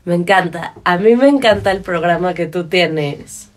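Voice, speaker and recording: A woman's voice: fairly low pitch, normal speed. A woman in her thirties with a Mexican Spanish accent, hosting a casual podcast conversation at a studio microphone. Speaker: podcast host. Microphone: studio microphone on boom arm.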